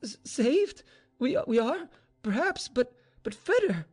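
A man's voice: very high-pitched, wildly swooping pitch, takes it slowly, gravelly, mellow, slightly rough, voice pushed up, full character voice. A man voicing a frightened, haughty young Russian noblewoman, with exclamatory, questioning storybook delivery. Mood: shocked, faltering. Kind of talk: storybook dialogue reading.

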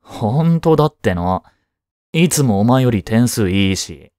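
A man's voice: medium pitch, expressive, unhurried, darkish tone, dry recording, clean studio sound, lightly acted. A man playing a flustered teenage boy jealous over his childhood friend in a romantic voice drama, boyish and hesitant. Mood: awkward, cheeky. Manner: boyish, hesitant, muttering to himself. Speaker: amateur voice-drama actor. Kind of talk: Japanese romantic voice drama acting.

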